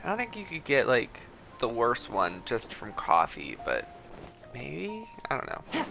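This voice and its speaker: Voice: medium pitch, huge pitch swings, measured pace, gravelly, bright, forceful tone, slight background noise, rough recording. A game streamer chatting casually with viewers and giving enthusiastic, conversational fan commentary. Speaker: livestream host.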